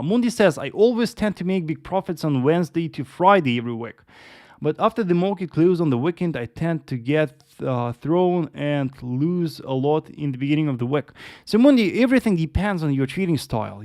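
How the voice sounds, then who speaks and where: A man's voice: a little high, a little mellow, no room sound, clean studio sound. A young man from Russia with a Russian accent, hosting a live trading analysis stream and answering viewers' chat questions patiently, with frequent "uh" and "yes.". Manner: patient, repetitive, lots of uh and yes. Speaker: trading livestream host.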